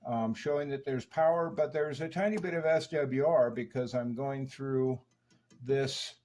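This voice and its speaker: A man's voice: mid-range voice, measured pace, a little mellow. A man giving an unscripted, hands-on technical demonstration of equipment, explaining each step methodically. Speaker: radio restorer demonstrating equipment.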